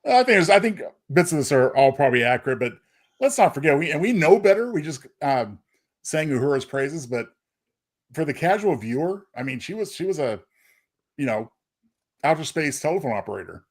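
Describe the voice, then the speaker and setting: Fast-talking man in analytical chat. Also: on the high side, big pitch swings. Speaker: podcast panelist. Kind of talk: casual analytical discussion.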